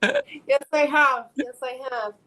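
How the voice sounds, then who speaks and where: A middle-aged woman from Canada, an actress chatting casually as a podcast guest, frank and quick to laugh. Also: high-pitched, expressive, bright, forceful tone, low-quality recording. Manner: easygoing, laughs often, frank. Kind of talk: casual interview chat.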